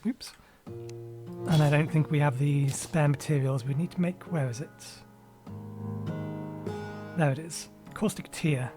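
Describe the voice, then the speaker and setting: A man chats his way through a video game session, correcting himself mid-sentence and filling gaps with ums. His voice sits a little high and carries a slight rasp left over from a cold. Quiet music plays faintly behind him. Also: steady tone, measured pace, pauses often. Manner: rambling, self-correcting with frequent ums. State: recovering from a cold, a little raspy. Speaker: gaming channel host. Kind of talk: casual let's-play commentary.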